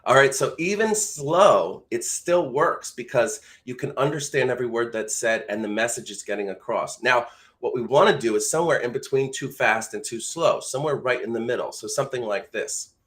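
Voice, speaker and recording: A man's voice: mid-range voice, normal speed, a bit bright. A man teaching voice-over in an informal, conversational way, explaining step by step as an instructor.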